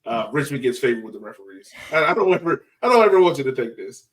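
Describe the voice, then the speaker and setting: A man co-hosting a soccer podcast, telling match stories casually in an excitable, animated way. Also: mid-range voice, fast. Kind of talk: casual sports chat.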